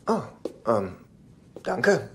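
An actor playing a fawning, flustered adult son and younger brother in a sitcom dub, hesitant and stammering. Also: male voice, mid-range voice, sing-song, very slow, a bit bright, full character voice. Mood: evasive. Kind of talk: German sitcom dub acting.

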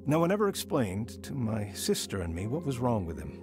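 An actor playing a man soberly recounting his troubled childhood in measured, scripted drama narration. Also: mid-range voice, very animated, over music. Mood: reflective.

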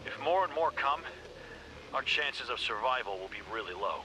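A man's voice: medium pitch, expressive, normal speed, close to an everyday voice. A man voicing a survivor squad soldier in a game, giving clipped reports to his commander. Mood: urgent report. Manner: clipped military callouts. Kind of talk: game voice lines. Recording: game audio with background noise.

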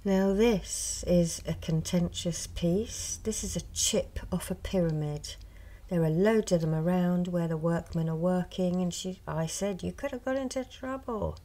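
A middle-aged woman, a reiki healer, speaking softly and slowly in a partly whispered show and tell, a little hoarse from a cold. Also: lowish voice. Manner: slow, soft, partly whispered. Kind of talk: soft-spoken ASMR show and tell. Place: indoors at a table. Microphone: close mic over a tabletop.

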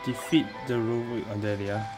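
A woman's voice: extremely low pitch, steady tone, brisk. A woman game streamer chatting casually in short, muttered reactions as she plays. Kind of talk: casual gameplay chat. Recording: loud game music behind.

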